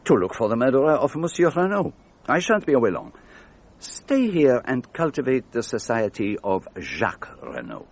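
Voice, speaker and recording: An actor playing a brilliant, self-assured detective in a radio drama, precise and theatrical. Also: male voice, medium pitch, big pitch swings, normal speed, creaky, husky, heavily acted. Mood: knowing, brisk. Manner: precise, theatrical, gently didactic.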